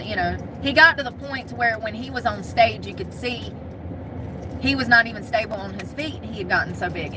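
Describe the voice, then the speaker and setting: A woman from Michigan talking casually to the camera in a chatty, candid, wisecracking way. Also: on the high side. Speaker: vlogger. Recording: noisy outdoor street sound.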